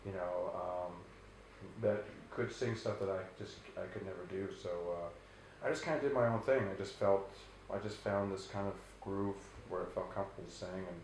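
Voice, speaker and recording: Brief: deep-voiced male rock singer, loose rambling answers, roomy echo. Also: moderate pace, slight background noise. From Canada. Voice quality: very low bass voice. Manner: relaxed, rambling, many restarts and fillers. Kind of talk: casual interview answers.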